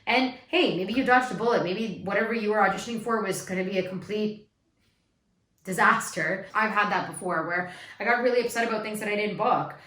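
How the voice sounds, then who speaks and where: Raspy young woman, emphatic, in echoey room. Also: mid-range voice, a little fast, fairly bright tone. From Canada. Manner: emphatic, repeats points for stress. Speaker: actor and video host. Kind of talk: advice vlog monologue. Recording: echoey room, faint music.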